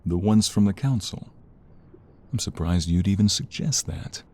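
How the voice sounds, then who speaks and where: An actor playing a defiant, protective ex-mobster in an audio drama, dramatic and halting, full of determination. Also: male voice, low voice, darkish tone, a little hiss or hum. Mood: wary. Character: defiant, protective male ex-mobster fighting for his love. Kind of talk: audio roleplay drama acting.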